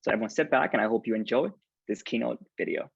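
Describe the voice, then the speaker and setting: A man's voice: fairly low pitch, quick pace, creaky, slightly rough, dry recording. A man hosting an academic lecture event, introducing a video in a friendly, informal way. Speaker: event host. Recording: dry, dull lo-fi sound.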